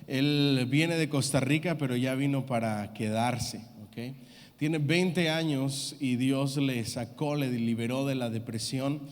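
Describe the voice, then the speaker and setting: A man's voice: mid-range voice, slow pace. A man hosting in church, introducing a guest in an upbeat way and calling for amens and applause. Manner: upbeat, calls for amen and applause. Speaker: church host. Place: church hall. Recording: reverberant church hall.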